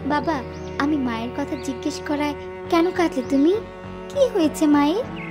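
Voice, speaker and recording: Woman acting a very high-pitched innocent little girl. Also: animated, moderate pace, over music. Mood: concerned, questioning. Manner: childlike, sweet questioning. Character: innocent, curious, affectionate little village girl. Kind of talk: cartoon story voice acting.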